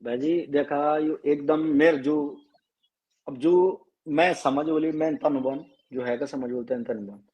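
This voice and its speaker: This is a man with a high voice and a Garhwali accent. He sings a slow folk song, then speaks earnestly and quickly as a guest. The sound is dull and lo-fi, like a live stream over a call. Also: steady tone, a little mellow. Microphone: live video call.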